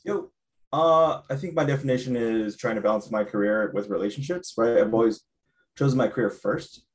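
A man's voice: medium pitch, fairly quick. A man on a group call speaking casually and laid-back, often checking in with "right?". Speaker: call participant. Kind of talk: casual sharing on a video call.

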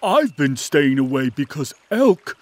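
A performer playing a hesitant, offended forest animal with a husky voice in a children's audio folktale. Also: mid-range voice, sing-song, measured pace, no echo. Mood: indignant, hesitant.

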